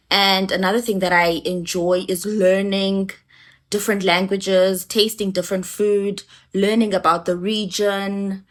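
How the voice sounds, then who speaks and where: A woman, an isiXhosa speaker, speaking as a travel vlogger in a thoughtful, measured way. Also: fairly low pitch. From South Africa. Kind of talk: reflective personal account.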